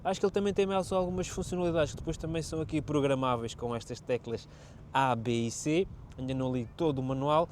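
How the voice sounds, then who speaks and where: A man with a European Portuguese accent and a slightly high voice. He talks in a casual, explanatory way, as if showing a gadget to his viewers. The delivery is chatty and informal. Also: fast, darkish tone, a little hiss or hum. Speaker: motorcycle vlogger reviewing gear. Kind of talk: casual product review and demonstration.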